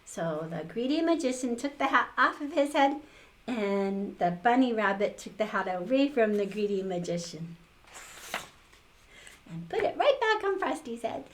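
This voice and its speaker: A woman's voice: fairly high pitch, very animated, normal speed. An older woman reading a picture book aloud to children, expressively, with little exclaimed asides. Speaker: storytime reader for children.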